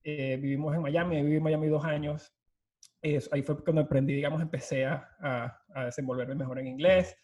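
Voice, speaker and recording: A man's voice: on the high side, steady tone, fairly quick, a little mellow. Venezuelan man, 30s, startup founder guest; chatty storyteller, mixes in English, video call. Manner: talkative, mixes English words into Spanish. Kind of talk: casual storytelling interview.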